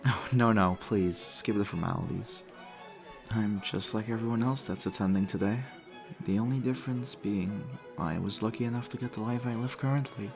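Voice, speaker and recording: Man acting as a suave male vampire, low voice, flirty and teasing, intimate audio roleplay, music behind. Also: normal speed, gravelly. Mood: modest, courteous. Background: background music.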